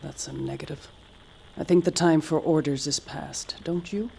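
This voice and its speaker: An actress playing a stoic, resigned mission commander in an audio drama, clipped, restrained and matter-of-fact, with a husky voice. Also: female voice, low-pitched, fairly even intonation, moderate pace, warm tone, slight background noise. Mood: resigned, flat.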